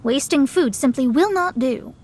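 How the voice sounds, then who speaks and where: A woman's voice: high voice, animated, moderate pace, darkish tone, a little hiss or hum. A woman voicing a proud, haughty young female astrologer in a video game, lecturing and self-important. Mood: lofty.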